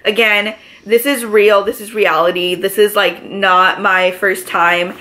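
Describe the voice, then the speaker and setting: A pregnant young woman with a raspy, allergy-congested voice, chatting casually to camera from her living room couch, full of filler words. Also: mid-range voice, fairly even intonation, measured pace, pushed and bright, low-quality recording. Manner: chatty, lots of filler words, gestures with both hands. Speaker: vlogger. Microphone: camera in front, medium framing.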